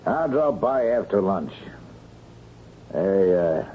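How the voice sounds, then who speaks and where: An actor in a radio drama playing a cultured homicide lieutenant, casual, coaxing and drily humorous. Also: male voice, deep voice, animated, slow. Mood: coaxing, patient. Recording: tinny, hissy old radio broadcast recording.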